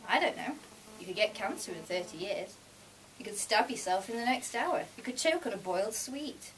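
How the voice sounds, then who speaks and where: A woman's voice: mid-range voice, lively intonation, moderate pace, fairly bright tone, close to an everyday voice. A woman voicing a casual, matter-of-fact man who is Death, in a live story reading. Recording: distant mic, noisy room ambience.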